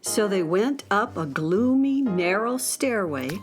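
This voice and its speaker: A woman playing the narrator of a children's space audio drama, reading scripted story narration calmly. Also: medium pitch, takes it slowly. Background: faint music.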